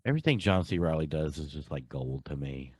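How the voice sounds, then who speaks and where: A man's voice: very deep voice, expressive, a little fast, darkish tone, breathy edge, dry recording, studio quality. A man hosting a podcast, chatting casually about pop culture. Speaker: podcast host.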